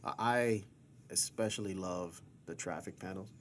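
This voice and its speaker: A man with a fairly low voice hosts the conversation, asking questions in a relaxed, encouraging way. A faint ambient hum sits under his voice. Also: normal speed. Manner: easygoing, laughs along, encouraging. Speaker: interviewer, channel host. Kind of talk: casual interview questions.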